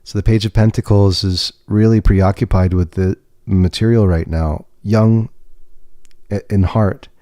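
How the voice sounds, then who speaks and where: A man livestreaming a tarot reading, talking in a gentle, reassuring way with pauses and restarts. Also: low-pitched, no echo, studio quality. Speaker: tarot reader and podcast host. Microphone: webcam setup.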